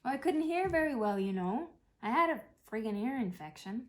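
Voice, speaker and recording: A woman's voice: fairly high pitch, lively intonation, brisk, natural voice, rough recording. A woman reading a play as an anxious, wounded grown daughter of a dying mother, defensive, sardonic and blunt in manner. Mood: wry, defensive.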